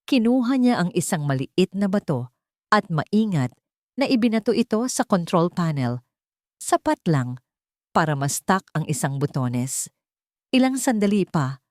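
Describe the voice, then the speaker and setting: A woman narrates a dramatic audio story in a low-pitched voice. Her phrasing is deliberate and suspenseful, and the recording is close and dry. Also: darkish tone, no echo.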